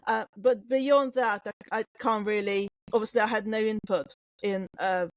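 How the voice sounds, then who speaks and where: A woman's voice: mid-range voice, steady tone, moderate pace, no echo, rough recording. Hesitant woman, Russian native speaker. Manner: hesitant, many fillers and restarts. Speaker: guest, manga artist. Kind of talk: casual interview chat.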